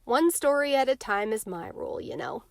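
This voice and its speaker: A woman voicing a gentle, worried sixteen-year-old big sister in a soft, tender storybook read-aloud. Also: a little high, very animated, normal speed, creaky, dry recording, studio quality. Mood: kindly firm.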